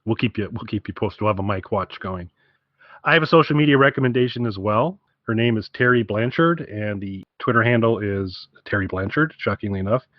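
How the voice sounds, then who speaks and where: A man's voice: fairly low pitch, a little fast, no echo, dull audio. A man co-hosting a podcast, chatting casually in a rambling, wry way. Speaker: podcast co-host.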